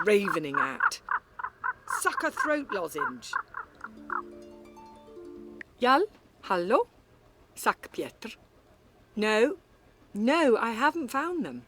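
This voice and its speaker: An actress playing a weary, wry woman cafe owner, telling her story in an audiobook with an expressive, breathy, conversational delivery. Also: mid-range voice, exaggerated voice. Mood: exasperated. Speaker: audiobook narrator. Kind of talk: first-person dramatic audiobook narration.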